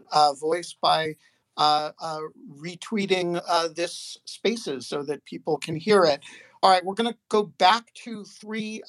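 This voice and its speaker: Halting man moderating an audio chat. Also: sits high, fairly bright tone, dry recording. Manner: halting, many fillers. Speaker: host.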